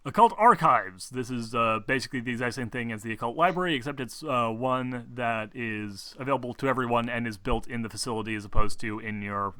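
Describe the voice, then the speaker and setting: A man's voice: medium pitch, fairly quick, hardly any pauses, a bit bright, clear, resonant tone. A man working as game master, explaining tabletop game rules informally in a hesitant, rambling way with casual swearing.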